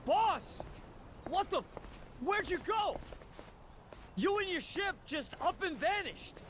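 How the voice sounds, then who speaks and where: An actor playing an excitable, loyal yakuza underling in a video game, exclaiming eagerly and deferentially to his boss. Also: very high-pitched, slow, slight background noise, heavily acted. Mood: alarmed, relieved. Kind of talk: dramatic video game voice acting.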